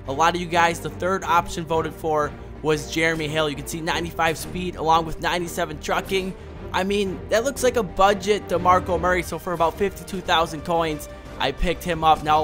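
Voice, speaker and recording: A young man with a hoarse voice from a cold, doing upbeat gameplay commentary straight to his viewers. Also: a little high. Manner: upbeat, fast-talking, speaks straight to viewers. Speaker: gaming YouTuber. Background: background music.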